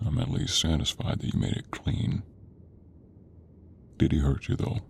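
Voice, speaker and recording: A man playing a gruff, stern but protective monster hunter in an audio roleplay, with a gravelly, murmuring delivery. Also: normal speed, a little hiss or hum, close to an everyday voice. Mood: dark, tender. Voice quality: gravelly, very low, creaky. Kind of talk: audio roleplay acting addressed to the listener.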